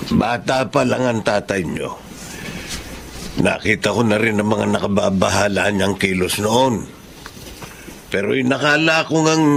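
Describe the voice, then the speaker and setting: An actor playing a concerned older uncle in a Filipino radio drama, measured, reflective and reassuring. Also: male voice, fairly low pitch, vocal fry, a bit bright, a little hiss or hum, heavily acted.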